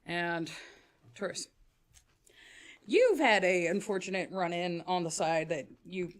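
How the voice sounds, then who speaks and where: A woman running a tabletop game with a slightly low voice. She narrates loosely and swears freely, with plenty of filler words. Her delivery sounds relaxed and conversational. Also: slow pace. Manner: casual, profane, lots of filler words. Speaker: game master.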